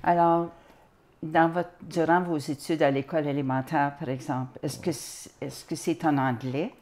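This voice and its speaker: A woman hosts a studio interview, asking her guest questions. Her voice sits low for a woman. She speaks in a friendly way, with occasional "euh" fillers between phrases. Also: fairly even intonation, moderate pace, a little mellow. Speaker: host, interviewer.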